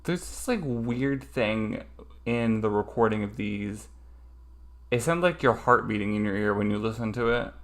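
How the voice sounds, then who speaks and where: A man's voice: lowish voice, animated, moderate pace. A man streaming a casual study session, thinking aloud hesitantly with lots of ums.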